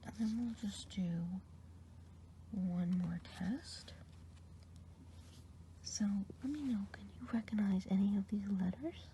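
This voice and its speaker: A woman performs a kindly good witch in a whispered ASMR roleplay. The voice stays soft and mellow, close and unhurried, with patient questions to the listener. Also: medium pitch, slight background noise. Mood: soft whispering.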